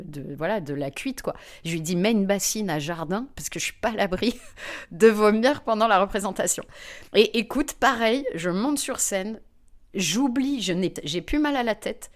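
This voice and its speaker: A woman comedian, a guest in an interview, telling lively personal anecdotes with frequent fillers. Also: fairly low pitch. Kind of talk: anecdotal storytelling in conversation.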